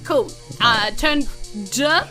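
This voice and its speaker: A woman's voice: high-pitched, expressive, drawn-out words, pushed and bright, faint music behind. A woman with a New Zealand accent running a tabletop game, narrating informally and chatting in a giggly way. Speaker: game master.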